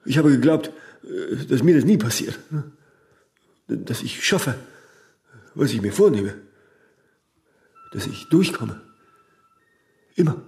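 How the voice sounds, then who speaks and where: An actor playing a famous older workaholic in a radio drama, vain and stubborn, given to philosophical pronouncements that end in little tag questions. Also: male voice, mid-range voice, sing-song, slow, gravelly, long pauses, a little mellow, full character voice. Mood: exhausted. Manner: rambling pronouncements, frequent tag "ne?".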